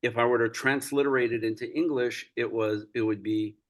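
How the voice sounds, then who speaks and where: A man raised in the United States, a rabbi speaking earnestly in a lecture-style talk over a video call, warming into animated storytelling. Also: medium pitch, brisk. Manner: earnest, storytelling, gets animated and laughs while recounting.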